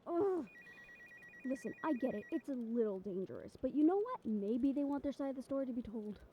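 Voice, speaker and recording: A woman's voice: high-pitched, very animated, a little fast, dark tone, a little hiss or hum, low-quality recording. A woman playing a brash, stubborn, ambitious young reporter in an improvised audio roleplay, with a sarcastic, cocky, conversational delivery. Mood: defiant, pleading.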